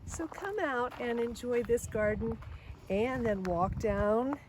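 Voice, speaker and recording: A woman's voice: on the high side, takes it slowly. An older woman speaking to children as a read-aloud storyteller and informal guide, explaining things to them directly. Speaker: volunteer story reader and garden guide. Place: outdoors in a botanic garden. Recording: outdoor recording with clear background noise. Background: outdoor ambience, noise.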